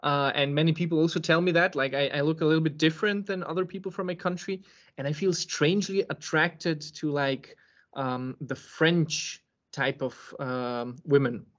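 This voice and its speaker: A man, hosting a podcast interview over a video call and pausing often with ums and restarts. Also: on the high side, steady tone, fairly bright tone. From Serbia. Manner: hesitant, many ums and restarts. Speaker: podcast host. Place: home room with bookshelf. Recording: muffled video-call audio.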